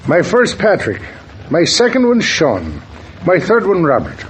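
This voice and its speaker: A man's voice: high voice, huge pitch swings, slight background noise, full character voice, low-quality recording. A man acting a jovial, doting, talkative father in an old-time radio soap opera. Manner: garrulous, proud, unhurried. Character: jovial, doting Irish father, chatty business client.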